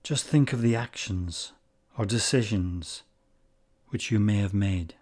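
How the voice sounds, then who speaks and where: A man leading a guided meditation in calm, measured short phrases with long pauses. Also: fairly low pitch.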